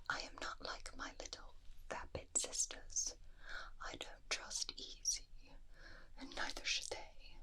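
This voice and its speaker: A woman plays a guarded, haughty female keeper of a magical beast shop in an ASMR fantasy roleplay. She speaks entirely in a breathy whisper that is very bright and crisp-edged. Her delivery is slow and deliberate, with a prickly, questioning air. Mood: wary, haughty.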